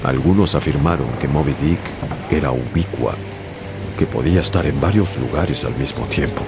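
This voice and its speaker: A man's voice: a bass voice, normal speed. A man narrating a radio drama in the first person, grave and dramatic. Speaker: narrator as Ishmael. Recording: radio drama with loud music and crowd.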